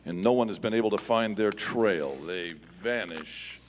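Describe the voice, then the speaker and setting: A man acts a masked western hero with a low, measured, authoritative voice. It is old-time radio acting, live in a reverberant hall with clear background noise. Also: close to an everyday voice. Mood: grave, assured. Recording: echoey hall, noisy live stage recording.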